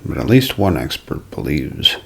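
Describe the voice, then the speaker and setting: Deep, gravelly man narrating mysteries. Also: big pitch swings, moderate pace. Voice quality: gravelly, husky, breaking into whisper. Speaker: ASMR host and narrator. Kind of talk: ASMR scripted mystery-show narration.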